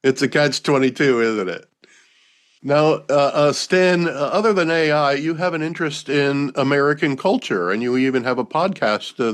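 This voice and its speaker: A man hosting a podcast, asking a guest measured, relaxed questions. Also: medium pitch. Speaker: podcast host. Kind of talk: interviewing a guest.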